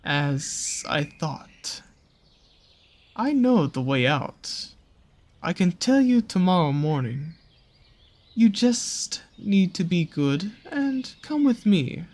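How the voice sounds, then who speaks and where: A seductive naga monster of a dark forest, acted out by a performer, speaks very slowly in a husky, breathy voice. The lines swoop and curl with teasing menace, coaxing the listener in an intimate audio roleplay. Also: mid-range voice, big pitch swings. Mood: sly, ominous. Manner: slow, coaxing, teasing, drawn-out phrasing.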